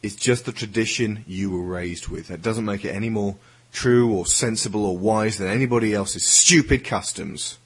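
Man playing an earnest schoolboy, deep voice. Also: moderate pace, few pauses, slightly rough. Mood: heated, earnest. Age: teenage schoolboy figure. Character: earnest, troubled schoolboy pleading against violence. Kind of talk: audio drama acting. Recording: music under the voice.

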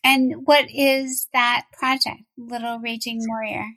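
A woman hosts an interview podcast, her voice bright and a touch high in pitch. She asks her questions gently and thoughtfully, building on what her guest says. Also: flat delivery, slow, poor audio. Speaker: podcast host.

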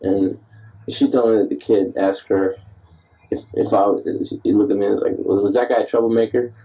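Tipsy man in his thirties, rambling, self-deprecating, husky, dull off-mic answering-machine tape. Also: lowish voice, steady tone, moderate pace, recorded from a distance. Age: 30s. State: tipsy after beers. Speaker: diarist recording himself. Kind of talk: rambling late-night voice memo. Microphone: answering machine recorder.

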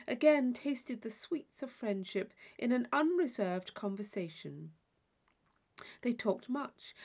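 A woman reading a classic novel aloud as scripted narration, in a measured, expressive storytelling manner. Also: on the high side. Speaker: audiobook reader.

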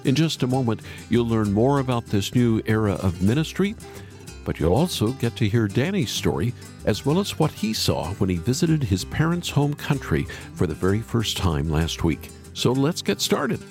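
A man hosting a ministry podcast, introducing his guest with an expressive, preacher-like delivery. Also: medium pitch, normal speed. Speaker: podcast host. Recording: light guitar music underneath. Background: soft guitar music.

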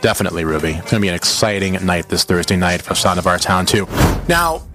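A man's voice: low voice, very animated, fast, music in the background. A man working as a radio show host, talking conversationally with a bit of showmanship. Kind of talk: conversational radio interview and reminiscence.

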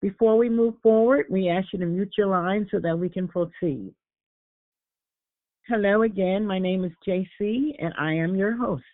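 A woman hosts a morning prayer conference call, repeating warm greetings to each caller as they join. Her slightly raspy voice comes through a dry, tinny phone line. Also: medium pitch, normal speed, no room sound. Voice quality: gets raspy. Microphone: telephone.

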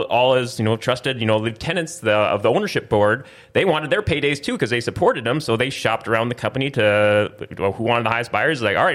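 A man's voice: medium pitch, fairly bright tone. Rambling man hosting a casual talk show. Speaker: podcast host. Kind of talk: casual news discussion.

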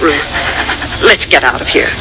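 An actress playing a kindly, motherly woman friend in a 1940s radio drama, speaking gently and with concern. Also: low voice, animated, measured pace, music in the background, rough recording. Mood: sympathetic, soothing. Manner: gentle, solicitous.

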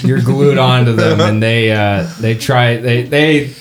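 A man improvising narration as game master, conversational and halting. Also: mid-range voice, fairly even intonation.

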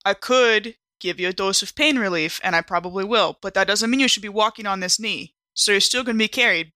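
A woman's voice: mid-range voice, brisk, pushed and bright, no room sound, close to an everyday voice. A woman playing a brash, easygoing, caring marine medic in a tabletop game, casual, firm and teasing. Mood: breezy, firm.

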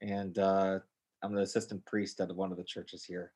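A man's voice: low-pitched, flat delivery, normal speed, darkish tone, husky. An American man speaking as a podcast guest in a casual interview, informal and enthusiastic, often repeating words for emphasis. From United States, north of Chicago. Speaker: podcast guest, Greek Orthodox priest.

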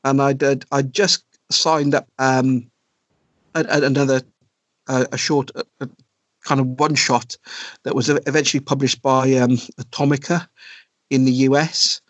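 Middle-aged man, podcast guest, halting delivery full of ums, dry muffled recording. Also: mid-range voice, flat delivery, dry recording. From South Wales. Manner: hesitant, many ums and restarts. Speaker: podcast guest, comic writer. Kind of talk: casual interview chat. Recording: dry, dull lo-fi sound.

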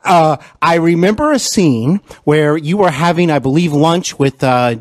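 Higher-pitched male interviewer, close dry sound. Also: animated, a little slow, no room sound. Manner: effusive, deferential, hesitant phrasing. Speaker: podcast host and interviewer. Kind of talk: conversational interview.

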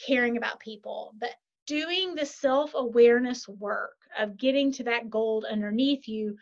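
A woman, a podcast guest and author, chatting in an animated, upbeat way and often checking in with "right?". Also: fairly high pitch, normal speed, creaky. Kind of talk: casual interview chat. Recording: lo-fi muffled sound.

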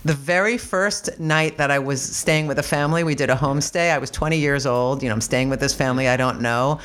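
A woman, a hypnotherapist and psychotherapist, explaining ideas in a measured way with images as a podcast guest. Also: very deep voice. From United States.

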